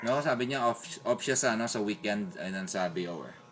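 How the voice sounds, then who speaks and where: A man with a Filipino accent talking casually as an amateur radio operator, in a hesitant, halting way. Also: on the low side, noisy background. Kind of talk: casual two-way radio chat. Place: beside a radio set, recording it on a phone.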